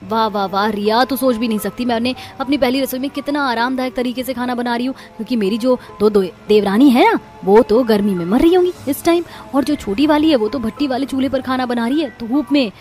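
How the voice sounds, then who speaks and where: A woman's voice: fairly high pitch, a little fast, hardly any pauses, darkish tone, soft background music, natural voice. A woman voicing a boastful, pampered eldest daughter-in-law in a cartoon story. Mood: smug.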